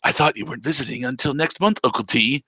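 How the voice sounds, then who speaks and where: A man doing a raspy, exasperated mother. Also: mid-range voice, fast, pushed and bright, dry recording. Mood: wary. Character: exasperated mother in her forties.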